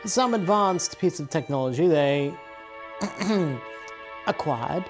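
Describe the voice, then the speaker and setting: A woman acts out a resourceful male spy from a wartime audio drama. The delivery is measured and cool, like a mission briefing, with music clearly playing behind. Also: sits low, animated. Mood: briefing, serious. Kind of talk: fan audio drama acting.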